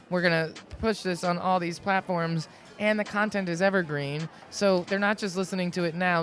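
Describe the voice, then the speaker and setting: A woman hosting a podcast interview, talking casually and chattily with many fillers and restarts, her voice low for a woman. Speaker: podcast host.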